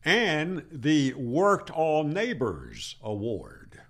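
A man over sixty giving an explanatory tutorial in an emphatic, deliberate manner, speaking into a close desk microphone. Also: on the high side, big pitch swings, a bit bright. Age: older, over 60. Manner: emphatic, with rhetorical questions and deliberate repeats. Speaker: amateur radio channel host. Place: small plain room indoors. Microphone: desk microphone on boom arm, close.

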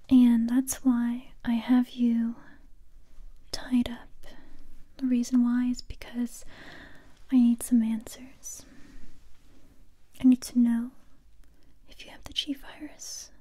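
A young woman playing a tough, determined survivor interrogating a captive in a soft, breathy ASMR whisper, close to the microphone. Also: a little high. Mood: hushed, stern. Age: young adult. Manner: slow, deliberate, repeating phrases. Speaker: ASMR roleplay performer.

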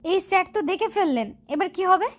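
A woman's voice: very high voice, expressive, a little fast. A woman playing a feisty, bossy college girl in love, scolding and pleading in a romantic audio story. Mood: anxious.

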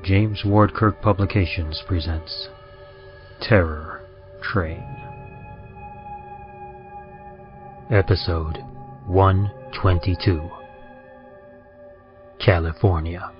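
A man's voice: low-pitched, steady tone. A man playing a grim, menacing conductor of a hellish train, reciting ominously in the style of old-time radio horror. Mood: grave announcing. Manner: slow, ominous, measured recitation. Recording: muffled audio with music behind.

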